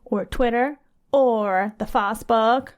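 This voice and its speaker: A woman's voice: fairly high pitch, slow pace. A woman co-hosting a casual podcast who keeps the conversation moving in an upbeat way. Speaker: co-host.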